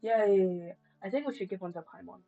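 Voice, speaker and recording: A woman's voice: mid-range voice, quick pace, creaky, mellow. A woman live streamer chatting casually over a game in a musing, wry, rambling way. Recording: slightly muffled stream, music behind.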